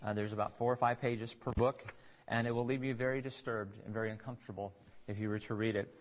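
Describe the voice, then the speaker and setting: A man preaching a conversational sermon, pausing for asides and restarting phrases as he works through scripture. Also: lowish voice, steady tone, low-quality recording. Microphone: recorded on tape in a church.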